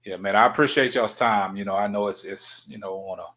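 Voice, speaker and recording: A male podcast host asking questions in a relaxed, easygoing way, with lots of "you know"s. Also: on the low side, brisk. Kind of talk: casual chat.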